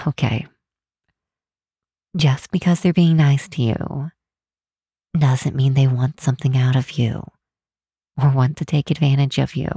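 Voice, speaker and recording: A woman voicing a kind, protective demon queen in an ASMR comfort roleplay, speaking gently and reassuringly in a measured way, close to the microphone. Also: low-pitched, breathy edge, no room sound, full character voice. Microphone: close, dry microphone.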